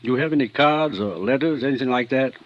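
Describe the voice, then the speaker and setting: A man's voice: mid-range voice, lightly acted. Man playing a shrewd lawyer, dull vintage radio sound. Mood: shrewd, cautious. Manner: measured, questioning, formally polite. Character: shrewd, cautious male small-city attorney, politely probing. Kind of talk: 1950s radio drama acting.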